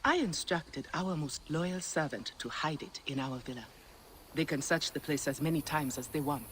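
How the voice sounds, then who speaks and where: An actress playing a composed, assured mother in a video game cutscene, speaking calmly and reassuringly. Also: deep voice, moderate pace, slight background noise. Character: Henut, composed mother and official's wife.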